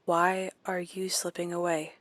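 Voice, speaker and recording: A woman's voice: fairly low pitch, little pitch movement, a little slow, fairly bright tone, no room sound. An actress playing a guarded, uncertain woman learning to open up, speaking in terse, tentative lines of audio drama dialogue. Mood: dry, curious. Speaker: supporting character in a horror audio drama.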